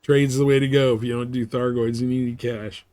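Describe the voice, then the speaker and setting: Male game streamer, medium pitch, slangy and folksy, chatting casually to viewers while playing. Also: level tone, moderate pace, mellow, smooth, no echo. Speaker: game livestream host.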